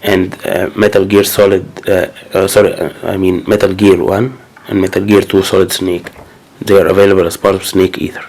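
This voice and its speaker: A man's voice: low voice, flat delivery, fairly quick, a little mellow. A man with a cold, talking casually and hesitantly as he goes through his new game collection. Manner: hesitant, many fillers and restarts. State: sick with a cold. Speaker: gaming channel host. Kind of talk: casual unboxing commentary.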